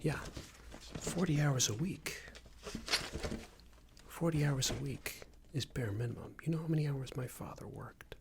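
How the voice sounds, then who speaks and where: A man playing a smarmy, passive-aggressive company boss in a soft-spoken ASMR roleplay, hesitant and condescending, often half-whispered. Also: mid-range voice, unhurried, very noisy. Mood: awkward, passive-aggressive. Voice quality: breathy, half-whispered, creaky. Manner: hesitant, hedging, condescending, rambling corporate small talk.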